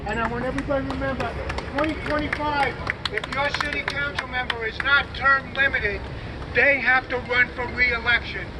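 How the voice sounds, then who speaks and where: A man's voice: extremely high pitch, steady tone, brisk. A man giving an impromptu speech at an outdoor rally, mixing sarcastic jabs with calls to remember how officials vote. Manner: sarcastic jabs, urging the crowd to remember votes. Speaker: civic group representative at a rally. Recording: outdoor rally with crowd and street noise.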